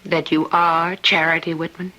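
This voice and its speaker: An actress playing a caring, earnest hospital doctor with a sympathetic manner in old-time radio drama. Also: female voice, sits low, level tone, moderate pace, pushed and bright, low-quality recording.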